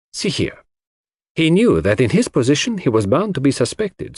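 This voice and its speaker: A shrewd foreign detective in a mystery audiobook, acted out by a male narrator, lecturing with great self-assurance. The voice is husky and theatrical, leaping up and down as he patiently lays out his reasoning, in a dry recording. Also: medium pitch, darkish tone, dry recording. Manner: theatrical, didactic, sprinkles French phrases.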